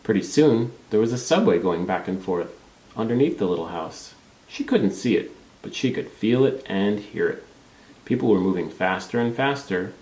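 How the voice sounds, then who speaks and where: A man's voice: fairly low pitch, lively intonation, moderate pace, darkish tone. A man reading a children's storybook aloud as narrator. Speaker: storybook reader. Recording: roomy, echoing room sound.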